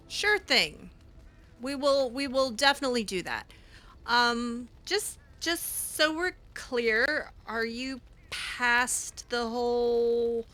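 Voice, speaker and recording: A woman improvising in-character dialogue as she plays a roleplaying game, halting and repeating words. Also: fairly high pitch, bright, forceful tone.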